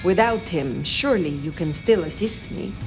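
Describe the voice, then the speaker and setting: A woman's voice: lowish voice, expressive, moderate pace, narrow, tinny audio. A woman playing a proud, worldly ancient vampire in video game dialogue, composed, formal and aloof. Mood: cool authority. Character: proud, worldly, imperious ancient female vampire, cool and commanding. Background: clear background music.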